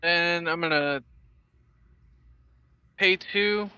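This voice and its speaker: A man's voice: fairly high pitch, extremely slow, sharp tone, smooth, no echo, lo-fi sound. A man casually announcing his plays step by step in a livestreamed card game, matter-of-fact in tone.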